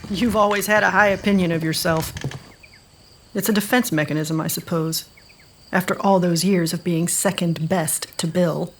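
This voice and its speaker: A woman's voice: lowish voice, fairly even intonation, moderate pace, a little hiss or hum, lightly acted. An actress playing a wary, bitter woman in an audio drama, speaking in a pointed, guarded way. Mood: wary, cutting.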